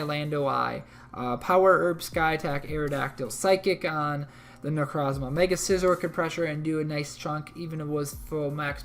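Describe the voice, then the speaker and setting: A man co-hosting a league recap, talking through a match in a rambling, self-correcting way, a little hoarse from a cold. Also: a little high, a bit bright. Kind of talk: casual game recap commentary.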